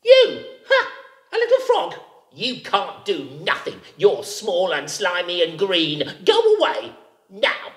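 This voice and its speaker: A man doing a shrill, panicky female rabbit. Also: little pitch movement, normal speed, hardly any pauses. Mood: scolding. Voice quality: high and squeaky. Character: frightened fussy female rabbit, shrill and scolding. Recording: echoey room.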